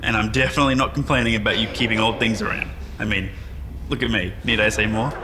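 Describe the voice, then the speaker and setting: A man's voice: lowish voice, steady tone, quick pace, noisy background, natural voice. An actor playing a wry, modest man revived from cryostasis, speaking in a reflective, conversational way in a sci-fi audio drama. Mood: amused, candid. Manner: self-deprecating, reflective, conversational. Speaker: interviewee at a press appearance. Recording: long hall reverb, music behind.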